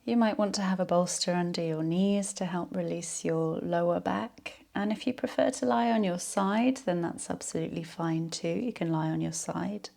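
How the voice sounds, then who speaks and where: A woman leading a guided yoga nidra relaxation in a soothing, unhurried, soft-spoken way. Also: fairly low pitch, vocal fry.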